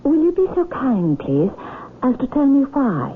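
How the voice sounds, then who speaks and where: An actress playing a proud, composed aristocratic widow in a radio drama, speaking in a formal, poised and imperious manner. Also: female voice, sits high, sing-song, unhurried. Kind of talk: 1970s radio drama acting. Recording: muffled lo-fi old radio broadcast, hiss.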